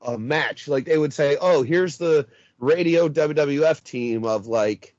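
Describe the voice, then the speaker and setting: A man's voice: fairly high pitch, unhurried, a little mellow, dry recording, dull audio. A man in his thirties chatting casually about wrestling, hesitant and full of fillers. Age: 30s. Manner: rambling, hesitant, many fillers.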